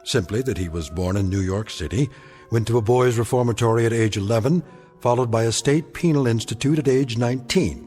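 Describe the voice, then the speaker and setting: A man narrating an eerie radio drama in a deliberate, portentous and ominous style. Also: on the low side, a little mellow, lightly acted. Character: grave, ominous male narrator of an eerie tale. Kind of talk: scripted dramatic narration in old-time radio anthology style. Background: music.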